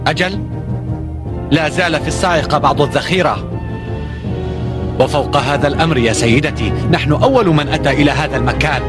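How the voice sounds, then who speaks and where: A man's voice: on the high side, fast, long pauses, loud music behind the voice, lightly acted. Man voicing a brave young space hero. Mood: resolute. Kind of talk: dubbed cartoon acting. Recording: loud background score under voice.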